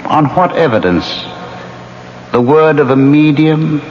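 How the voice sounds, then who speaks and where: A man's voice: fairly high pitch, expressive. Suave scheming ghost man in old 70s radio play, taunting, really swoopy intonation, noisy old tape. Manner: suave, taunting, deliberate, theatrically precise. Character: smug, scheming male ghost plotting his wife's murder. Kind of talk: 1970s radio drama acting. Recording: dull, noisy old radio broadcast recording.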